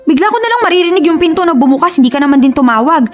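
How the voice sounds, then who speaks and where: A woman's voice: high-pitched, animated, very fast, soft background music. A woman acting in a Filipino radio drama as a stubborn, scolding young woman who is fiercely loyal to her sister. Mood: startled, scolding. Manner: emphatic, scolding.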